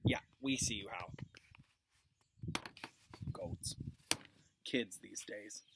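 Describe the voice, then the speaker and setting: A man's voice: fairly low pitch, drawn-out words, creaky, very noisy. A man, a classroom teacher reading a story expressively for his pupils, dropping into informal asides. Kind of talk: expressive read-aloud for pupils.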